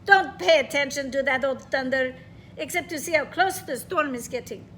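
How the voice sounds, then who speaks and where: A woman voicing a calm, reassuring old grandmother with a put-on Russian accent, coaxing and firm. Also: high voice, moderate pace, slight background noise. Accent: Russian, put on imperfectly. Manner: coaxing, firm, urgent storytelling voice.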